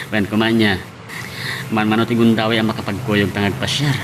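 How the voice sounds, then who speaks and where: A man's voice: on the low side, flat delivery, normal speed, noisy background, close to an everyday voice. A man playing a mild, evasive young boyfriend in an Ilocano radio drama, speaking hesitantly with a Filipino accent.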